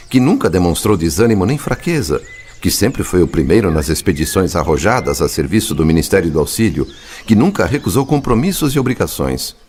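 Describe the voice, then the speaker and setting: Very low, solicitous man as a spirit friend. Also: darkish tone, a little hiss or hum. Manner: formal, measured, solicitous dramatic delivery. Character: caring, respectful male spirit mentor, privately anxious for family. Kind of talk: scripted dramatic dialogue.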